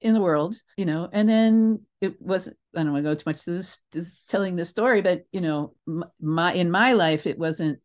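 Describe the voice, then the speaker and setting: An older woman with a slightly low-set voice speaks as a guest in a relaxed interview. She tells stories with animated emphasis, breaking off mid-thought and wandering into side remarks. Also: moderate pace, few pauses. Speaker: interview guest, theatre creator. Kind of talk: casual interview storytelling.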